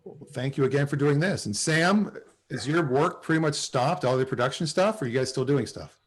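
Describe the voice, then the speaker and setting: Middle-aged man, medium pitch, casual host, asking questions, video call. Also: expressive, fairly quick, husky. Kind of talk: casual chat. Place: indoors on a group video call.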